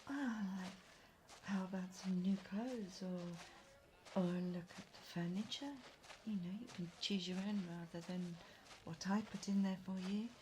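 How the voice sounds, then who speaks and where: Slow, sweet, eager fantasy guide played by a woman. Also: lowish voice, steady tone, a little mellow, slight background noise, close to an everyday voice, rough recording. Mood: friendly, helpful. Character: Summer, cheerful gentle female local guide of a fantasy world. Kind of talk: immersive audio roleplay acting.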